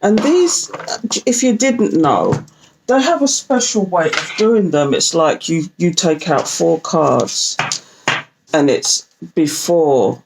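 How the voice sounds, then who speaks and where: A woman with a British accent and a croaky, groggy morning voice, chatting playfully as she reads oracle cards for viewers. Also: sits low, lively intonation, slow pace, noisy background. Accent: British, London Jamaican slang. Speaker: tarot reader. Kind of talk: casual oracle card reading to camera.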